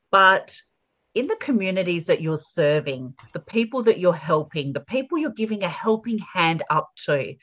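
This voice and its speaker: A woman's voice: deep voice, fairly bright tone, highs cut off. A woman hosting an interview podcast, effusive and emphatic in her praise of the guest. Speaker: podcast host.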